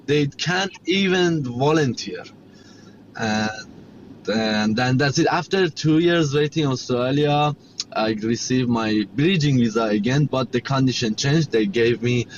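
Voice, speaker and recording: Man, Persian accent, native Persian speaker, halting repetitive English, muffled audio, noise behind. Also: medium pitch. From Iran. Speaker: guest, chef and cafe owner. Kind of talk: interview storytelling.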